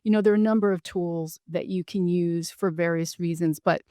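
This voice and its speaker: A woman's voice: lowish voice, fairly even intonation, brisk, mellow, no echo, studio quality. A woman hosting an interview podcast, explaining things to listeners in a friendly way. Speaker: podcast host.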